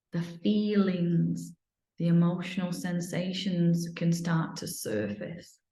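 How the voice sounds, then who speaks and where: A woman leads a guided meditation in a soothing, unhurried manner, slipping at times into near-whispers. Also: on the low side, dark tone. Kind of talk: guided meditation, improvised live. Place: indoor room, live online video session. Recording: roomy, echoey sound.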